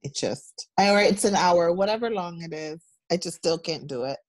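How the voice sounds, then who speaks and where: A woman co-hosting a podcast, answering in short, relaxed bits and laughing easily. Her voice sits a little lower than most women's. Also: normal speed. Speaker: podcast co-host. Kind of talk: casual chat.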